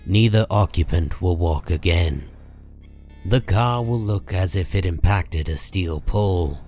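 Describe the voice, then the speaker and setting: A man narrating a comic book story in a grave, measured, dramatic style. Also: low-pitched, a little mellow. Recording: music under the voice.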